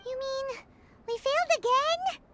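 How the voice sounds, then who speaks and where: A woman playing a tiny, excitable fairy girl companion in a video game, with a childlike, perky delivery. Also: very high-pitched, a little slow, very noisy. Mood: disappointed. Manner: childlike, perky, refers to self by name.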